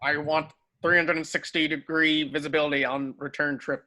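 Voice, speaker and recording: Man playing a high-pitched, forceful old guard wizard. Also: little pitch movement, moderate pace. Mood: commanding. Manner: barking, clipped orders. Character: gruff, commanding, wary veteran wizard leading a guard. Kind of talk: amateur online script table read.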